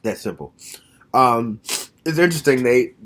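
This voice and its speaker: A man in his mid-thirties speaks at an average pitch in a relaxed, reflective monologue recorded at home. His delivery is frank and direct, and he thinks out loud as he talks. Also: a little slow, slight background noise. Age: 30s. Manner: frank, plainspoken, thoughtful. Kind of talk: casual reflective monologue to camera.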